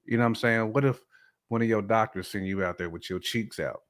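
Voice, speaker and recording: A man's voice: fairly low pitch, fairly quick. An American man in casual podcast conversation, talking in a colloquial, slangy way with emphatic repetition.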